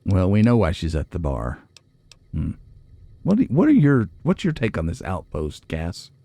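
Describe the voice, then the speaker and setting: A man's voice: deep voice, wildly swooping pitch, moderate pace, creaky, dark tone, no room sound. A man hosting a casual video game playthrough, musing aloud and making wry asides to viewers. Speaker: let's play host. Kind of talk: casual game commentary, reading and reacting aloud.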